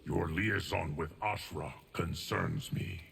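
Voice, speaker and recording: A man voicing a solemn, all-knowing, cryptic guardian of time in a video game, in a measured deep voice. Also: fairly bright tone, distant mic, slight background noise, heavily acted. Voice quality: deep, gravelly. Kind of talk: video game character dialogue.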